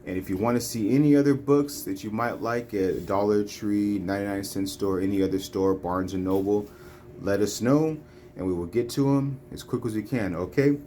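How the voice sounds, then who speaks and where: A woman reading children's storybooks aloud in a deliberate, phrase-by-phrase way. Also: very deep voice, warm tone. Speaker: storybook reader. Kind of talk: children's read-along narration. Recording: faint background noise, home recording.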